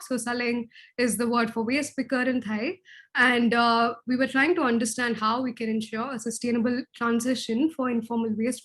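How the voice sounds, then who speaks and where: A woman's voice: on the high side, fairly bright tone. Woman presenter with a scratchy throat. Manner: explanatory, with frequent throat clearing. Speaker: research associate presenting. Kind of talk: online webinar presentation.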